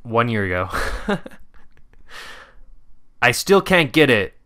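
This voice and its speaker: A man's voice: medium pitch, big pitch swings, slow pace, bright, forceful tone. A man co-hosting a casual podcast, reading comments aloud for a guessing game and laughing often. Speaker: podcast co-host running a quiz segment.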